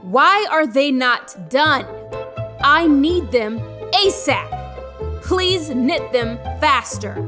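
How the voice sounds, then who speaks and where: A woman's voice: sits high, animated, very slow, music in the background, heavily acted. An actress playing an impatient, entitled woman customer, reading a demanding letter with insistent over-enunciation in an audio drama.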